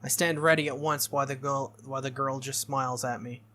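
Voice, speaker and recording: A game playthrough host reading a visual novel aloud in an expressive way, with casual reactions. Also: medium pitch, fairly quick. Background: game music.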